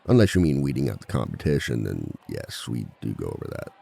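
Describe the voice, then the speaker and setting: A man playing a composed, dry-witted villain who teaches a seminar in a scripted ASMR roleplay, with a measured, polite authority and a gravelly voice. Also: big pitch swings, warm tone, full character voice. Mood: patient, dry. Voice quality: very deep, gravelly. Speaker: seminar instructor.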